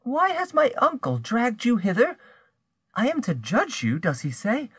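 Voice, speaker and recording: A man's voice: very high voice, huge pitch swings, measured pace, fairly bright tone, husky, dry recording, voice pushed up, heavily acted. A bewildered wife in a storybook drama put on by a male narrator. She speaks in a raised, swooping voice, full of dismayed questions and wounded jealousy. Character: bewildered, jealous, betrayed pious young wife.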